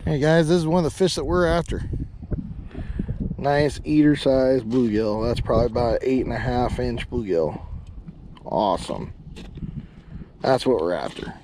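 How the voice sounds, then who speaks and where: A young man filming himself ice fishing outdoors on a frozen lake, chatting excitedly to viewers about each fish. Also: medium pitch, noisy background. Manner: excited, chatty, quick to laugh. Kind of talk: casual play-by-play to viewers. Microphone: head-mounted action camera.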